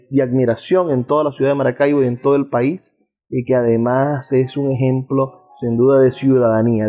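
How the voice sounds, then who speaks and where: A Venezuelan man hosting a radio interview, speaking in measured, rhetorical phrases. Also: mid-range voice. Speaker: radio show host and interviewer. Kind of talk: radio interview with an eloquent introduction. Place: balcony of a house. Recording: phone-like thin sound, faint music behind.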